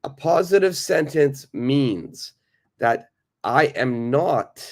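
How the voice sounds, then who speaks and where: A man with a cold and a raspy voice, teaching a live online English lesson, greeting viewers and repeating points slowly. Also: mid-range voice, animated.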